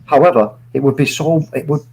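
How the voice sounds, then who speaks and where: A man's voice: fairly high pitch, brisk, creaky, darkish tone, low-quality recording. A man in his late forties, a guest on a live stream, giving his views earnestly and rambling through many restarts. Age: 40s. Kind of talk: opinionated live chat.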